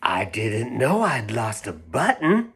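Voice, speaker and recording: A man voicing an innocent, wistful toy bear in a storybook, with breathy wonder. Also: medium pitch, huge pitch swings, slow pace, fairly bright tone, heavily acted. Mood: wistful, resolved.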